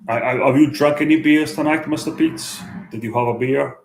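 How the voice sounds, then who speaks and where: A man livestreaming at night, chatting in a hesitant, halting way with many restarts and fillers. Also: mid-range voice, steady tone, reverberant, slight background noise. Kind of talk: late-night street livestream chat. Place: out at night in a city.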